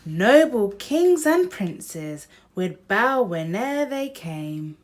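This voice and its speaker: A woman's voice: medium pitch, very animated, drawn-out words. A woman hosting a children's picture book read-aloud, speaking directly to young listeners.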